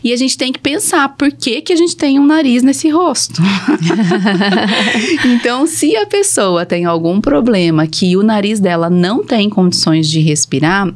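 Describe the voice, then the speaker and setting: A woman with a Brazilian accent and a mid-pitched voice speaks as an expert guest. She explains step by step in plain, conversational language, in a teacherly way. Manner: didactic, plain everyday wording. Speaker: guest speech therapist.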